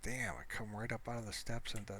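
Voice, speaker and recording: A man giving casual, rambling gameplay commentary, muttering to himself in a low-key way. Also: brisk, pushed and bright. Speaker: gamer narrating his own gameplay. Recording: clear background noise, mouse clicks.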